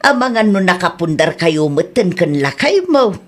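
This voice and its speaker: A woman's voice: fairly low pitch, very animated, a little slow. A woman playing a caring mother with an Ilocano accent who gives patient advice in an audio drama. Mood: advising. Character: caring middle-aged mother, gently advising.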